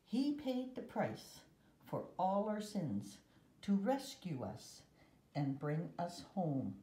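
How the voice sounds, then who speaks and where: A woman reading a children's Bible picture book aloud with measured, deliberate phrasing and pauses, recorded indoors. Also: lowish voice, sing-song, warm tone, reverberant, poor audio. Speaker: storybook reader.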